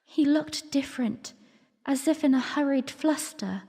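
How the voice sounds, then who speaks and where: A woman playing a young, refined princess, narrating her memories earnestly and formally in a breathy voice for an audiodrama. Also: high-pitched, unhurried, a little mellow. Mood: curious, narrating. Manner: storytelling, formal. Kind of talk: first-person dramatic narration. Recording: long reverberant hall echo.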